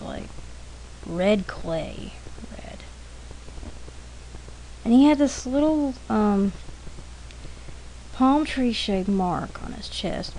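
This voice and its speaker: Woman, slow pace, casual rambling storyteller, halting and self-correcting, art demo narration, noisy home recording. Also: medium pitch, warm tone. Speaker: artist narrating a drawing demonstration. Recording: clearly noisy homemade recording.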